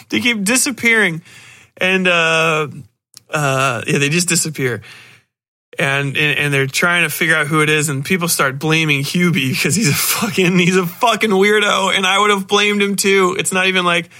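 Bright, high-voiced man podcasting casually. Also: normal speed. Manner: animated, swears freely, excitable. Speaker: podcast host. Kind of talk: casual podcast review, rambling and profane.

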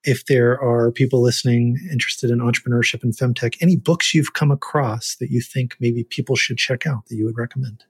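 Husky-voiced male podcast host. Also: medium pitch, steady tone, brisk, hardly any pauses, dry recording, clean studio sound. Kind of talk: podcast interview and sign-off.

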